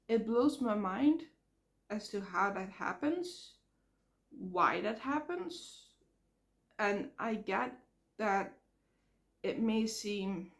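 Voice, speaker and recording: A woman's voice: medium pitch, vocal fry. Woman with a cracking, cold-ridden voice. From Netherlands. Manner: frank, halting, repeats words. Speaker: vlogger. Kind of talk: casual rambling vlog. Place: outdoors near traffic.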